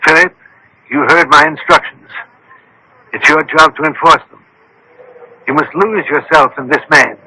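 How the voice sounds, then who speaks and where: Radio drama, scientist-detective, higher-set voice, piercingly forceful, authoritative, formal, precise. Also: male voice, normal speed, pauses often, slight background noise, rough recording. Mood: commanding. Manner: authoritative, formal, giving crisp orders. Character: commanding, precise scientist-detective, a brilliant thinking machine. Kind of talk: 1970s-80s radio drama acting.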